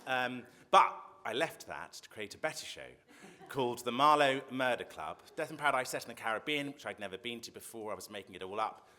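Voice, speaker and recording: A man's voice: medium pitch, animated, brisk, sharp tone. An English man, a TV writer on a convention panel, introducing himself with wry, self-deprecating jokes. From England.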